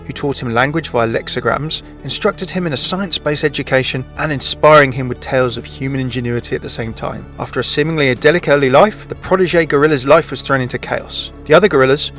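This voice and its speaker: Male gaming channel host, mid-pitched and quick, delivering scripted explainer narration over music. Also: a bit bright.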